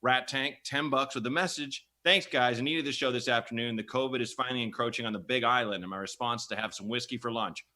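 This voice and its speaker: A man's voice: medium pitch, fast talker, hardly any pauses, sharp tone. A man hosting a comedy podcast, chatting casually and telling stories in a rambling, repetitive way. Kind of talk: casual comic banter and storytelling.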